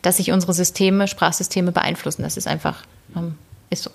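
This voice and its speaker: A woman linguist answering interview questions in a thoughtful, hedging way, with soft laughs between answers. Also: on the low side, steady tone, brisk, creaky. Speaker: linguist guest. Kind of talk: conversational interview.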